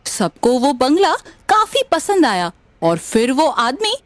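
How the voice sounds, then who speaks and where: Female narrator for animated stories; high register, swooping intonation. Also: takes it slowly, no echo. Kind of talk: scripted story narration.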